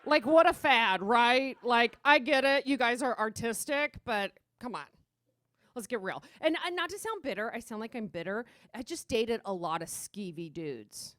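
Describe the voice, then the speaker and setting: A woman's voice: a little high, expressive, normal speed, fairly bright tone, dry recording, clean studio sound. A woman playing a gossipy Renaissance-era lady doing a sarcastic, conversational stand-up routine peppered with "like". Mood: sardonic. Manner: conversational valley-girl sarcasm, full of "like".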